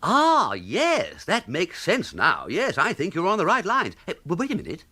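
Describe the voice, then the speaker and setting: An actor playing an amiable, slightly dithering young upper-class English gentleman in a radio comedy, breezy and chummy. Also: sits high, huge pitch swings, normal speed, husky, full character voice. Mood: breezy, curious. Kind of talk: radio comedy drama acting.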